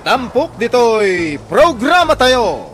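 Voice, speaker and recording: A man's voice: very high-pitched, very animated, slow pace, a bit bright, faint music behind. A man with an Ilocano Filipino accent, announcing a radio drama in a dramatic scripted style.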